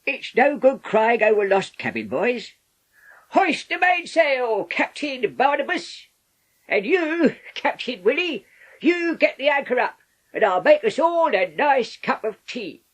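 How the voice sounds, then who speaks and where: Man putting on a high bossy pirate mate. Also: big pitch swings, fairly bright tone, full character voice. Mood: brisk. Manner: brisk, drill-sergeant commands.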